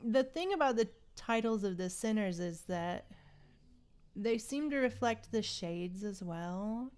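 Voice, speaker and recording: A woman's voice: medium pitch, lively intonation, a little slow, a little mellow, clean tone. A woman chatting casually as she streams a game, with a playful, exclaiming way of talking to viewers. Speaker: livestream host. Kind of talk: casual gameplay livestream chat.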